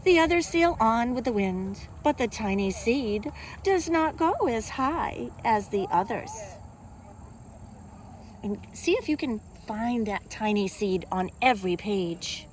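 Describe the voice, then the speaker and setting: A woman reading a picture book aloud to young children as a kindergarten teacher, in an expressive, teacherly way. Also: on the high side, slow pace, slight background noise. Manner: expressive, simple teacherly delivery with questions to young listeners.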